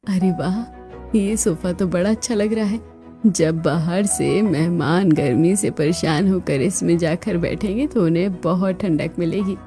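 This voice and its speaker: A woman voicing a weary, remorseful older mother-in-law in a cartoon story, speaking softly, slowly and earnestly. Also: lowish voice, faint music behind. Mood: approving. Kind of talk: Hindi cartoon story acting.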